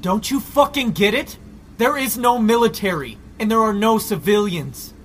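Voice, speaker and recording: Man playing a high-voiced, hot-headed apocalypse survivor. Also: moderate pace, a bit bright, a little hiss or hum. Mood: defiant. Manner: combative, profane. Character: defiant, hot-headed, foul-mouthed male civilian survivor.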